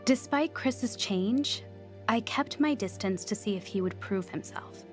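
An actress playing an earnest, devout young woman who tells her story with measured care in a radio drama. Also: mid-range voice, soft background music, close to an everyday voice. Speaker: lead actress and first-person narrator. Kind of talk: scripted radio drama narration.

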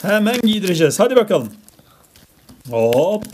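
A woman's voice: medium pitch, sing-song, moderate pace. A woman chatting casually with a child and viewers as a parent hosting a toy unboxing, playfully exasperated. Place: indoors at a table. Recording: clearly noisy home recording with clicks.

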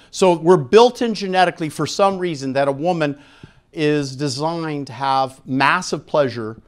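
A middle-aged American man lecturing to a live audience through a handheld microphone in a frank, emphatic way, pausing for effect and putting questions to the room. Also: on the high side. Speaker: speaker at an expo seminar.

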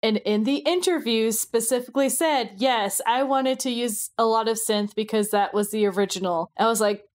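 A woman's voice: a little high, moderate pace. A woman co-hosting a podcast, talking casually and conversationally. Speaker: podcast co-host.

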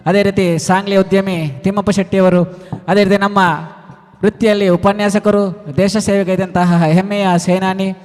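Even, high-pitched man hosting a ceremony. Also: normal speed. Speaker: master of ceremonies. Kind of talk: formal welcome speech at a ceremony. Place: stage at a school event. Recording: reverberant hall PA.

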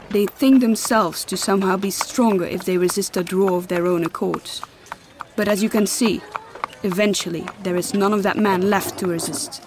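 A woman's voice: medium pitch, noisy background, close to an everyday voice. An actress playing a stern, imperious woman healer in a fantasy audio drama, scolding in formal, archaic phrases. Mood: firm, explaining. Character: stern, commanding woman healer and mother, scornful of fools. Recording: loud music behind the voice.

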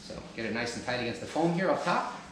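A man's voice: medium pitch, expressive, quick pace. A man in his thirties giving practical step-by-step how-to instructions while working on the floor of a large family room at home, recorded on a camera mic from a distance. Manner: practical step-by-step, talks while kneeling and lifting. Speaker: DIY home channel host. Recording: echoey room, some noise.

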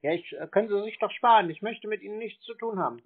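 A man's voice: high voice, fast, husky. A man on a phone line answering a telemarketer, stubborn and acting clueless. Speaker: call recipient baiting a telemarketer. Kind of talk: phone conversation. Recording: narrow tinny phone line.

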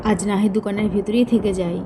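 A woman's voice: medium pitch, steady tone, fast, noisy background, natural voice. A woman voicing a humble, loving, poor shopkeeper father in a cartoon, with an earnest, self-blaming manner. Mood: alarmed. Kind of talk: Bengali cartoon voice acting.

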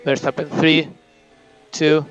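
A man's voice: fairly high pitch, level tone, slow pace. A man working as a race engineer, giving clipped instructions and countdowns to a driver over team radio. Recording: dull noisy motorsport radio link.

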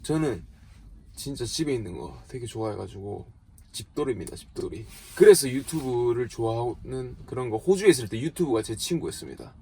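Husky man with a regional South Korean dialect. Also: mid-range voice, expressive, fairly quick, a little mellow. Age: 20s. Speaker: vlogger answering viewer questions. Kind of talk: casual question-and-answer chat.

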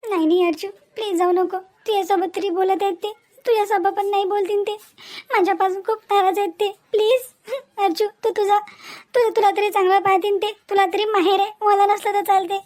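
Lady doing a teary young wife pleading in a cartoon drama, really really high plaintive voice. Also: fairly even intonation, a little fast, a little mellow. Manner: plaintive, repetitive. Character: hurt, pleading young wife loyal to her husband. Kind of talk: Marathi animated family drama dialogue.

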